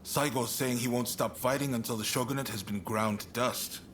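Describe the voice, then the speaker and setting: A man acting an affable, shrewd statesman in a video game cutscene, expressive and earnest but conversational. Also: medium pitch, moderate pace, slight background noise, natural voice. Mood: grave. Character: affable shogunate statesman, shrewd negotiator, warm toward his ally. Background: music.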